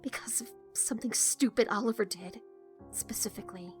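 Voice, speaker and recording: A woman's voice: a little high, brisk, faint music behind, natural voice. A woman acting in an audio drama as an earnest, uneasy teenage daughter, hesitant, subdued and confessional. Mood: hurt, indignant.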